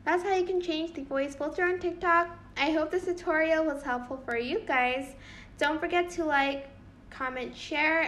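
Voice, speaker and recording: A young woman speaks in a high voice at an unhurried, slow pace. She hosts an informal how-to, a bit giggly and unsure of her script. There is faint noise behind her. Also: fairly bright tone. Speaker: tutorial channel host. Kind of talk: casual spoken phone-app tutorial.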